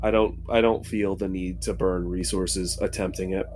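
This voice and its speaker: A man with a low voice plays a tabletop roleplaying game. His talk is casual, with clear music in the background. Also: fairly even intonation, moderate pace, darkish tone.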